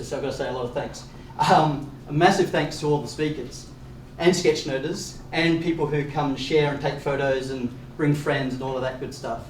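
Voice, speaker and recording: A man's voice: mid-range voice, a little mellow. A man hosting a meetup, giving upbeat announcements with many fillers. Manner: upbeat, many fillers, quick asides with chuckles. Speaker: meetup organiser and host. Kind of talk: event welcome and announcements. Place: event space. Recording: echoey room from far off with faint noise.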